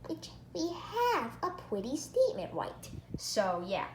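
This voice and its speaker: A female-sounding vlogger giving a casual product review in an excitable way, repeating phrases for emphasis. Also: medium pitch, unhurried. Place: indoor room at home. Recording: echoey room, background hiss.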